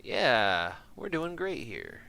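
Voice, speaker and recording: A man's voice: medium pitch, wildly swooping pitch, takes it slowly, vocal fry, bright, forceful tone. A man from Michigan with an American accent, doing casual, jokey gameplay commentary and chatting with viewers in slangy, self-deprecating talk. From Michigan, United States. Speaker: game streamer.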